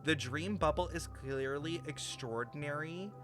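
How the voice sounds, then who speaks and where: A man livestreaming a game, reading its text aloud and thinking through it in an animated, puzzled way. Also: a little high, measured pace. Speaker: game streamer. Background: game music.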